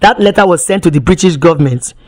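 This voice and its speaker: A woman's voice: lowish voice, quick pace. An impassioned woman activist testifies at length. She speaks emphatically, piles up rhetorical questions and often trips over repeated words before pushing on.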